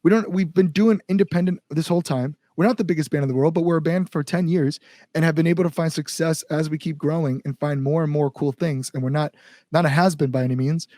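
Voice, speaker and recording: Higher-voiced American man, earnest and casual. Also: steady tone, fast, warm tone, dry recording, studio quality. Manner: earnest, informal with mild swearing. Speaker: band front-man being interviewed. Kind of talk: casual conversational interview.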